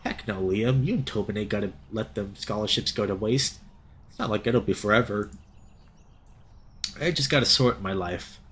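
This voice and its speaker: A man voicing a folksy young male fox in a visual novel, jokey but quick to get defensive. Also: fairly low pitch, a bit bright, a little hiss or hum, lightly acted.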